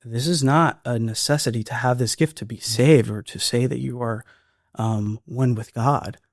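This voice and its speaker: This is a man of ordinary pitch who speaks earnestly in a relaxed, conversational way. He often stumbles and repeats words as he works out his thoughts. His voice sits close and dry, with no room sound around it. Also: moderate pace, dry recording. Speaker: filmmaker guest. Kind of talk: casual podcast conversation.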